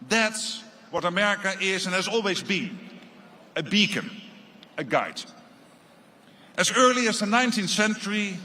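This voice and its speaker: A man with a Dutch accent delivering an earnest, deliberately phrased formal speech as a guest speaker. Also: sits high, pushed and bright, a little hiss or hum. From Netherlands.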